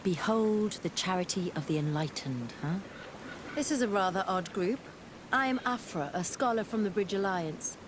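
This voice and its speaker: A woman's voice: medium pitch, animated, moderate pace, lightly acted. A woman playing a guarded, self-assured scholar in a video game, sardonic and articulate with formal phrasing. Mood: wry. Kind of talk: video game cutscene acting. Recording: clear ambient noise behind.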